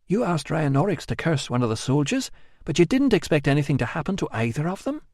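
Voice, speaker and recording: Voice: mid-range voice, very animated, fast talker, a little mellow, no room sound, clean studio sound, voice pushed up. A narrator voicing a blunt, practical young woman who speaks curtly and firmly. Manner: curt, matter-of-fact, firm. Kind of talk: audiobook acting.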